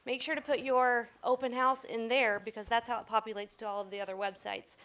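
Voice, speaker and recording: A fast-talking woman with a fairly high voice gives informal instruction at a staff meeting, speaking as a trainer. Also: level tone, a bit bright.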